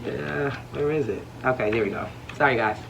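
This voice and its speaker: A man's voice: medium pitch, brisk, vocal fry. A man hosting a book club in a casual, informal way, explaining things as he leads the discussion. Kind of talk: casual read-aloud discussion. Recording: faint background noise.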